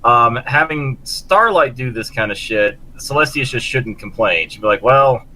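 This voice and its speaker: A man's voice: mid-range voice, lively intonation, moderate pace, bright, forceful tone, slight background noise, poor audio. A man on a casual fan panel, joking and acting out imagined quotes. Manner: jokey, acts out imagined quotes. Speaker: podcast panelist.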